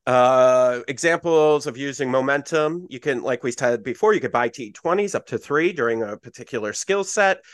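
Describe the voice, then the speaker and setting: A man's voice: medium pitch, a bit bright, dry recording, clean studio sound. A man acting as game master on a podcast, explaining dice rules in a loose, self-correcting way with plenty of fillers.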